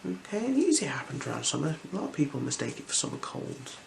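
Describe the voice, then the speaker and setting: A gentle, unhurried ASMR performer speaking softly and slipping into whispers while role-playing an eye examiner. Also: medium pitch, very animated. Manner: gentle, unhurried, soothing instructions. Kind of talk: ASMR role-play, soft-spoken with whispers. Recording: clear background noise.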